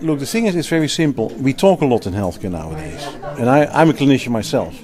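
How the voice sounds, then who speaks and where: A man's voice: medium pitch, expressive, quick pace, darkish tone. A man from the Netherlands with a Dutch accent, a healthcare executive and clinician, talking emphatically in an interview, restarting phrases and laughing easily. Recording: interview at a crowded event.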